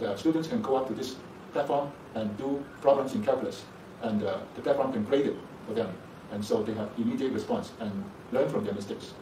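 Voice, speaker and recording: A man's voice: medium pitch, mellow. A male university lecturer whose first language is Chinese, explaining things in an interview with frequent "uh" fillers. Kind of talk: interview for a program promo. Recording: echoey room, distant mic, background noise.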